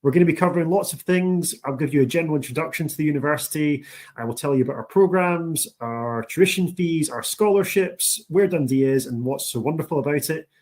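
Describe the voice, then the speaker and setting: A man's voice: a little high, a little fast, darkish tone. A man with a thick Scottish accent, a university international officer presenting an online training session.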